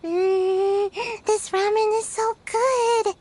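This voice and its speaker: A woman voicing a tiny female fairy companion in a game, squeaky and excitable, who talks about herself in the third person, recorded over game music. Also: very slow, slight background noise, heavily acted. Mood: chatty. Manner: excitable, food-loving. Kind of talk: game cutscene voice acting.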